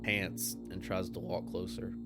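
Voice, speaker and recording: Low, gravelly man playing a tabletop game. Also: flat delivery, unhurried. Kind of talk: casual in-character roleplay and table talk. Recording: music behind the voice. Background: background music.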